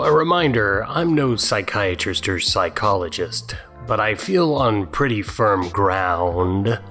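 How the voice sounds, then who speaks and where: A man's voice: lowish voice, a bit bright. A man delivering scripted mocking commentary in a sardonic, scornful manner. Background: music.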